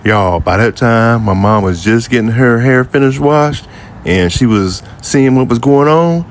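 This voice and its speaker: A man's voice: mid-range voice, measured pace, slight background noise. A man in his fifties with a Southern American accent, telling a personal story casually and talking straight to his viewers in a folksy, conversational way. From Jacksonville, United States. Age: 50s. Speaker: vlogger. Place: outdoors by an old building near a highway.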